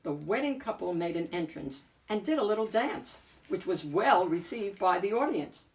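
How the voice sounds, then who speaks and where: A woman reading a picture book aloud as an expressive narrator, phrasing deliberately with emphatic swells. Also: lowish voice, far from the mic, poor audio. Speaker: picture book reader and host.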